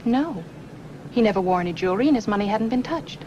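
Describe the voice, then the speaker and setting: Casting: actress for earnest, worried noir nurse, mid-pitched, plain-spoken, noisy vintage film recording. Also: female voice, lively intonation, quick pace, warm tone, dull audio, lightly acted. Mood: earnest, recalling. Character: anxious, earnest female dental nurse. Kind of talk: 1950s film noir acting. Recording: noisy old film soundtrack.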